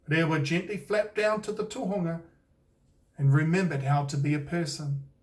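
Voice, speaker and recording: A man with a New Zealand accent reads a picture book aloud with an unhurried, expressive delivery. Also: fairly high pitch, recorded from a distance. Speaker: author and storyteller. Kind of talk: scripted picture-book narration.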